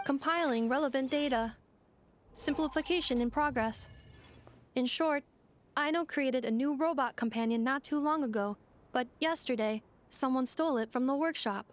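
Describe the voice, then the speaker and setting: A woman's voice: sits high, normal speed, close to an everyday voice. A woman voicing a precise android helper. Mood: steady. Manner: clipped machine-like reports, formal and literal. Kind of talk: video game dialogue.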